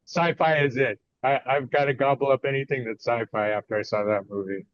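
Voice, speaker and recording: An older American man answering interview questions as a guest author, conversational and a little halting as he thinks his answers through. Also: mid-range voice, steady tone, dry recording. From United States, California. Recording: dull lo-fi audio.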